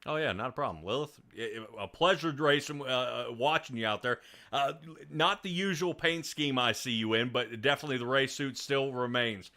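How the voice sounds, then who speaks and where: A man's voice: medium pitch, normal speed, bright, forceful tone. A man hosting a sim racing broadcast, interviewing a driver and rambling upbeat commentary with many restarts. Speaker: race broadcast host.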